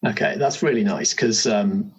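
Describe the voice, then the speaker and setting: A man's voice: on the low side, moderate pace, slightly rough. A man hosting a tabletop character creation session as game master, guiding it with casual questions and explanations.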